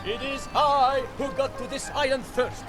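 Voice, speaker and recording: An actor voicing a pompous, theatrical masked vigilante in a video game, declaiming grandly with easily wounded pride. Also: male voice, extremely high pitch, fairly even intonation, unhurried, over music. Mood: grandiose, insistent.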